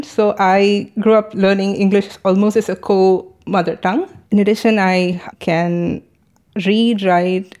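A woman's voice: mid-range voice, darkish tone. A young adult woman from India whose first language is Tamil, answering interview questions as a translator in a thoughtful way, with many fillers and restarts.

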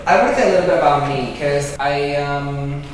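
A man's voice: on the high side, moderate pace. Echoey distant man. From Venezuela. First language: Spanish. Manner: reflective, hesitant with fillers. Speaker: poet and translator. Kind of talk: panel talk. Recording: echoey room from far off, faint noise.

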